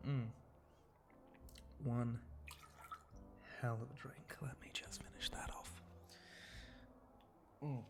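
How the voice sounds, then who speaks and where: Man playing a slow, gravelly, whispery flirty monster bartender. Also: medium pitch, flat delivery. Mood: amused, casual. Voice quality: breathy, often dropping into a whisper. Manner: slow, teasing, murmuring, lingering pauses. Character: flirty, teasing spider-monster male bartender, sly and relaxed. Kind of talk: intimate ASMR roleplay monologue.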